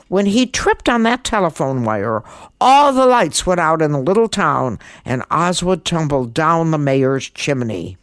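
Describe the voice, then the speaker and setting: Casting: woman for children's story narration, deep voice, theatrical delivery, very lively pitch, dry close sound. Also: no room sound. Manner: theatrical, emphatic. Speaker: storyteller, guest podcast host.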